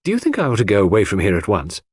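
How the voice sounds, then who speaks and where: A man voicing a gentle young woman who speaks in a hesitant, plaintive, confiding way in an audiobook. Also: on the low side, wildly swooping pitch, rapid-fire, slightly rough, no room sound, full character voice. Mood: anxious, appealing.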